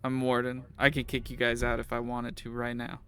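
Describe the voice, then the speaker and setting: A man's voice: mid-range voice, completely flat delivery, a little fast, a bit bright, clean tone, natural voice. A man playing a suspicious, hostile prison warden in a tabletop game, speaking in a curt, challenging way.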